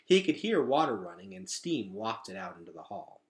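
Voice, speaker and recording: A man reading a children's story aloud as a teacher, with expressive, dramatic emphasis. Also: lowish voice, moderate pace.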